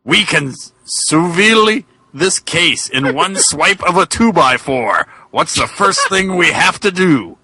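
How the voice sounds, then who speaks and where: A man's voice: on the high side, animated, takes it slowly, few pauses, bright, forceful tone, breathy edge, dry recording, full character voice. A man voicing a dim, good-natured ghost of a wrestler, blustery and eager, in a comic reading. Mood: eager bluster. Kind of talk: dramatic comic reading of fan fiction.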